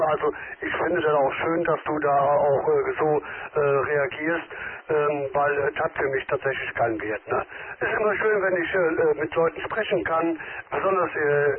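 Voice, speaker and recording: A polite man on an amateur radio contact, hesitating and filling his speech with many filler sounds. His voice sits a little high, and it comes through thin and tinny over the radio channel. A crowd murmurs behind him. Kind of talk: casual radio contact chat.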